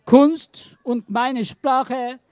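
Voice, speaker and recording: A woman slowly reciting a poetic art manifesto in a declamatory, repetitive way. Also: high voice, fairly even intonation, dark tone, no room sound.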